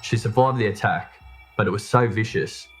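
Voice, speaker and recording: A man narrates a true crime documentary in a very low voice. His delivery is scripted storytelling, with music playing clearly behind him. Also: moderate pace. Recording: music bed under the voice. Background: background music.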